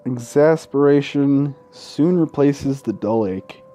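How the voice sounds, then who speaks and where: Slow-paced man reading game text aloud. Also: medium pitch, dark tone. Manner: casual, profane asides. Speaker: let's-play host narrating a visual novel.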